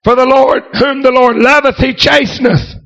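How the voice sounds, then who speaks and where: A man preaches a fervent revival sermon, raspy and worn hoarse from a week at the pulpit. He scatters amen and hallelujah through every phrase and breaks into shouts. The recording is dull and muffled. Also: very high-pitched, fairly even intonation, normal speed.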